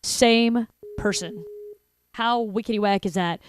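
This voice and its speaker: A woman's voice: medium pitch, measured pace, a little hiss or hum. A woman in her forties with a Texan accent, hosting a live online broadcast in a chatty, joking way with many fillers. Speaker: host. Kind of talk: casual live broadcast chat.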